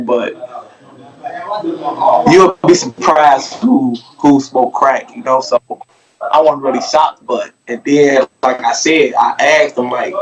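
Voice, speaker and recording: A man's voice: mid-range voice, low-quality recording. A man who is a podcast guest, telling a long casual story in a rambling, profane way and asking "you feel me" again and again.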